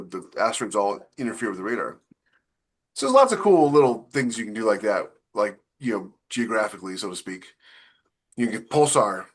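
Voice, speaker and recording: Low-voiced man chatting casually. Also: fairly bright tone. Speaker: podcast co-host, science fiction author. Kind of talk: casual panel chat.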